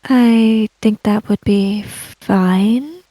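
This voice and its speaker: A woman's voice: medium pitch, very slow, dark tone, no echo, low-quality recording. A woman roleplaying in a tabletop game, speaking hesitantly and narrating what her character does. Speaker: player in a tabletop roleplaying podcast.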